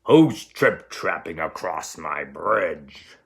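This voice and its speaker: A man voicing a hideous, menacing old troll from a children's storybook, roaring slow demands. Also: extremely low pitch, lively intonation, voice pushed down, exaggerated voice. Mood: angry, menacing.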